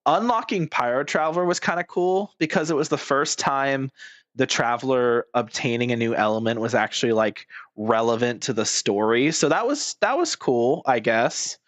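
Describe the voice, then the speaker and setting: A man's voice: mid-range voice, animated, moderate pace, fairly bright tone, no room sound. A male game streamer with a mid-range voice, talking casually and at length in a blunt, informal way. He swears freely and is recorded dry and close to the mic. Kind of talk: casual rambling game commentary.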